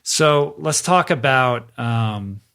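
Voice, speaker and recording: A man hosting a podcast interview, asking questions in a relaxed, curious way with lots of filler words. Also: mid-range voice, slow, smooth. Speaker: podcast host. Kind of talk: casual interview chat.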